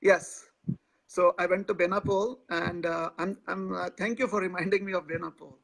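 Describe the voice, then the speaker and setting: A man, speaking as a guest in a live interview, pausing often with filler sounds. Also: sits high, steady tone, gravelly, fairly bright tone, rough recording. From India. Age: 40s. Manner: hesitant, many filler sounds.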